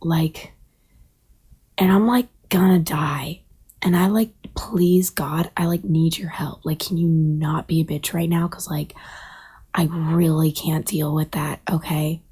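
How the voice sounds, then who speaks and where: Storybook fledgling, female performer: breathy, husky, whiny, desperate, rambling pleas. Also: sits low, steady tone, slow, mellow, pitched below the performer's own voice, exaggerated voice. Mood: desperate pleading. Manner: pleading, rambling. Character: desperate young male bird, whiny and foul-mouthed.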